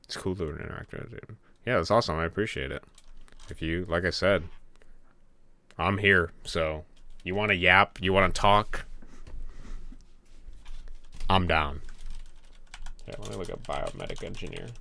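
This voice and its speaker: A man's voice: low voice, expressive, normal speed, vocal fry, long pauses, pushed and bright, no echo. A young man from Michigan, a game developer chatting casually with viewers on a late-night stream, laid-back and chatty. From Michigan, USA. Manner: laid-back, chatty, reads chat aloud. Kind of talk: casual live-stream chat. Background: keyboard typing and clicking.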